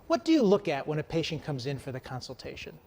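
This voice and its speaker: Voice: medium pitch, wildly swooping pitch, quick pace, a little mellow, big-room sound. An interview host leading a promotional chat, repeating words and reading out contact details.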